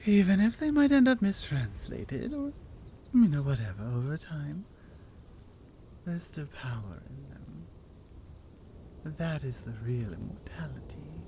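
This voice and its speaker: A woman's voice: low voice, sing-song, a little slow, long pauses, dark tone, a little hiss or hum, heavily acted. A woman acting a bold, teasing Nordic warrior in an intimate ASMR roleplay, with a Nordic accent and a playful, confident manner. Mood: earnest, ambitious.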